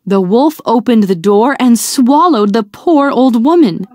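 A woman reading a fairy tale aloud to young children in a deliberate, expressive storytelling manner. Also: fairly high pitch, no echo, clean studio sound.

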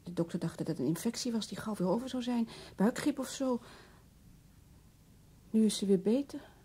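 An actress in a radio drama playing an anxious, stricken mother who speaks haltingly. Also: a little high, lively intonation, dark tone, breathy edge. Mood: numb, halting. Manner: halting, repeating words in disbelief.

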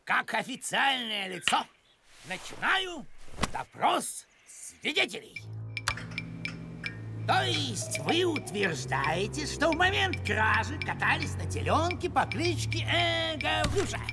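A man's voice: very high-pitched, big pitch swings, slow, over music, exaggerated voice. A man voicing a pompous, fussy older village postman in a cartoon, officious and theatrical in his delivery. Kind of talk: animated cartoon acting.

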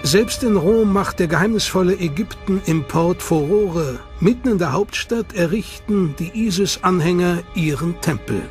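A man reading a scripted documentary narration in a measured, solemn way. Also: sits high, animated. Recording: narration over loud music.

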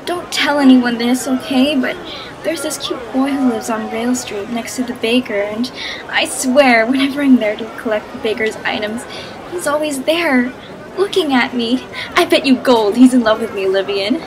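High-voiced protective big sister, acted by a woman. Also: moderate pace, natural voice. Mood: chatty, playful. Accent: Filipino. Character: bossy, protective teenage big sister, magician's apprentice. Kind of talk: fantasy audio drama acting. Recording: noisy with street crowd ambience.

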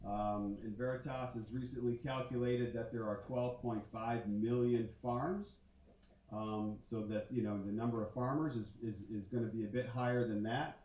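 A man's voice: mid-range voice, fairly even intonation, normal speed. Man in his forties, conference talk, filler-heavy, echoey auditorium, heard from afar. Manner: conversational, many fillers and restarts. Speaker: conference speaker. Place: large dark auditorium stage. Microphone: handheld mic. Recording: roomy hall sound from a distance, muffled.

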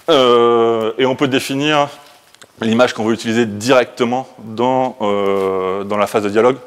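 A man, giving an informal technical talk as a conference speaker, in a casual, colloquial, hurried manner. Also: lowish voice. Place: indoor presentation room, standing by a projection screen.

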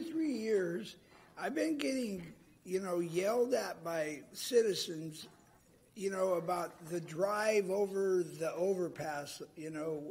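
A man's voice: high-pitched, a little mellow, breathy edge. A man chairing a city council meeting as mayor in an informal, chatty, joking way. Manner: folksy, joking. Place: large council chamber.

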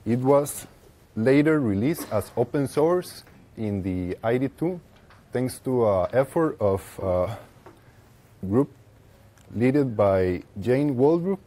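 Mellow-voiced young Costa Rican man presenting. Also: mid-range voice, animated, slow. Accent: Costa Rican Spanish. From Costa Rica. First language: Spanish. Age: young adult. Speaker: conference speaker. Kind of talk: technical presentation with slides. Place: lecture room with seated audience, indoors.

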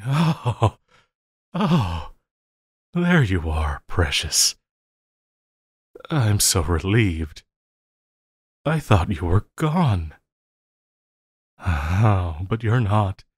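A man playing an obsessive, lovesick supervillain in an audio roleplay, needy and melodramatic, with halting, stammering delivery. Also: lowish voice, very animated, husky, dry recording, studio quality, heavily acted. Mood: relieved, nervous. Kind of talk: intimate audio roleplay acting.

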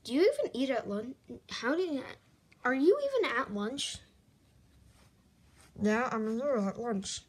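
A woman voicing plush toys in an improvised toy skit, playful with rapid back-and-forth lines. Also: high voice, very animated, husky. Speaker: plush video maker voicing all the toys. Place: carpeted bedroom floor.

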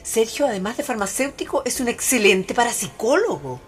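An actress playing an anxious, devoted friend in a radio drama, emotive and worried, with a Chilean accent. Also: female voice, a little high, sing-song, brisk, over loud music. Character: anxious, devoted female friend of a bewitched woman.

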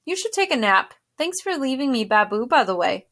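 Gentle caring mom, as a woman reads her. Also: high voice, big pitch swings, fairly quick, voice pushed up, exaggerated voice. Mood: reassuring. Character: warm caring mother, adult woman.

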